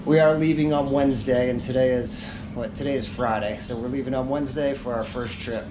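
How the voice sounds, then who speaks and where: A man with a mid-pitched voice talks through a do-it-yourself job in a casual, informal way. He thinks aloud as he works. There is clear noise behind him, recorded on an action camera out by a parked vehicle. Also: fairly even intonation, a little fast. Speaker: vlogger showing a DIY truck install. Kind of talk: casual hands-on how-to narration. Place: outdoors in and around a parked truck in a driveway.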